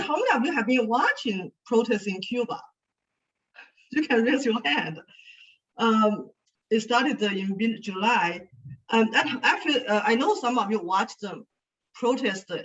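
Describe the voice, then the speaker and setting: A woman's voice: medium pitch, a bit bright. A woman in her fifties from Sichuan, China, whose first language is Chinese, giving a casual, chatty talk with slides over a video call, with many fillers. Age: 50s. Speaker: guest speaker. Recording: dull, distant video call audio.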